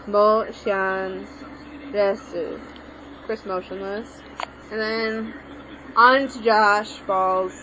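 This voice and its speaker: A woman's voice: mid-range voice, steady tone, drawn-out words, pauses often, sharp tone. Young woman tutor, rambling and unsure, recorded on a muffled home mic. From Mexican background. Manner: rambling, self-correcting, hesitant. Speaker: language tutorial maker. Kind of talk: casual spoken lesson while writing. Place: home room with a TV on. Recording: lo-fi home recording with background noise.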